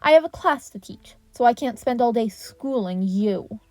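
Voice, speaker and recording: Stern, indignant male teacher, played by a woman narrator; commanding scolding, high-pitched, close dry sound. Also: expressive, normal speed, dry recording, natural voice. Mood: dismissive. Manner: sharp, commanding, lecturing.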